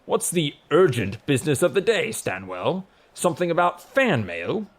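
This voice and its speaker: A man voicing a brash, towering male god of rocks in an audiobook, with a booming, pompous yet casual manner. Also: very animated, normal speed.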